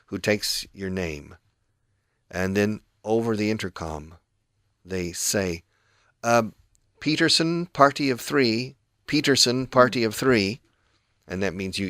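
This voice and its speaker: A man's voice: low-pitched, a bit bright, dry recording, studio quality. A middle-aged man hosting a radio English lesson, patiently explaining phrases and switching between two languages. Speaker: English teacher and radio host.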